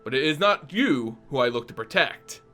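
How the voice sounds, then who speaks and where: A man reading aloud who voices a calm, ominous ancient female dragon in a measured, warning tone. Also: mid-range voice, soft background music. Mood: grave. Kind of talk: dramatic story reading.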